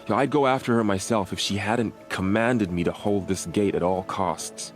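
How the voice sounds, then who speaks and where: A grieving, dutiful young warrior acted by a man from a video game. His voice is deep, with a solemn, earnest delivery. Soft music sits underneath. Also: normal speed, lightly acted. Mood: earnest, urgent.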